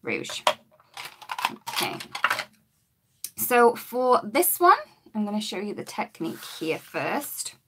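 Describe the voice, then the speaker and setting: A woman, her voice a bit croaky, demonstrating coloring with markers in a chatty live-stream lesson. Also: medium pitch, very animated, takes it slowly, pauses often, noisy background. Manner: chatty, talks while working, greets viewers. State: slightly croaky voice.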